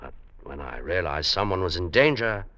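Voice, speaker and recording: An actor playing a brave, gentle but weary climber in an old-time radio drama, speaking in a halting, earnest way. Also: male voice, low-pitched, expressive, muffled recording, exaggerated voice. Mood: weary, resigned. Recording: noisy vintage radio recording with clicks.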